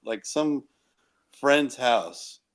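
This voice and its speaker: Middle-aged man, mid-pitched, joking casually. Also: animated, very slow. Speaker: podcast co-host.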